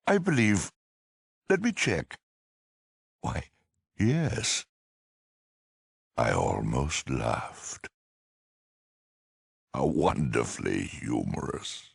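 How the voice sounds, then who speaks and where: An actor playing a huge, cultured and zealous super mutant lieutenant in a video game, with a rough gravelly voice and a slow, condescending, mock-polite manner. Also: male voice, very deep voice, a bit bright, dry recording, studio quality, exaggerated voice. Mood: smug, mocking. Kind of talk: video game villain dialogue.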